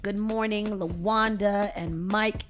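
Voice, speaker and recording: A woman's voice: medium pitch, steady tone, slow, a little hiss or hum. A woman hosting a morning radio show, chatting casually, laughing a lot and greeting listeners by name. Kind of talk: casual chat. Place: radio studio.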